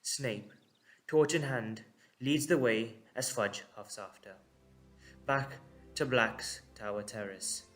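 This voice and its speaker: A man narrating a dramatic story in short, measured, suspenseful phrases. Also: mid-range voice, fairly bright tone, faint music behind.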